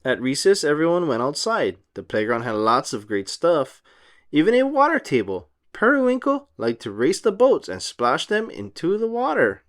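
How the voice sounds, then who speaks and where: Animated, expressive children's storybook reader. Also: mid-range voice, moderate pace. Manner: expressive, sing-song storytelling. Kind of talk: animated read-aloud of a children's picture book.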